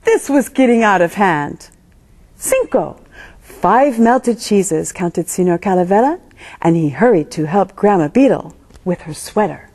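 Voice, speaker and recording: A woman storyteller reading a children's picture book aloud in an expressive narrating style. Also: lowish voice, moderate pace, slightly rough.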